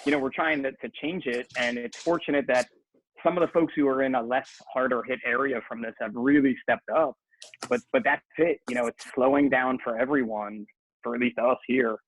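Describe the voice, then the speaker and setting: A man's voice: medium pitch, steady tone, brisk, no echo. A man chatting casually and emphatically as a guest on a panel podcast, in informal language. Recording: noisy live call, clicks.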